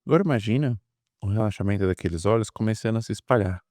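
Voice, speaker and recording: A man with a Brazilian Portuguese accent leading a guided meditation, soothing and repetitive, with pauses between drawn-out phrases. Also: lowish voice, darkish tone, no room sound, clean studio sound. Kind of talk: guided meditation, hypnotic induction.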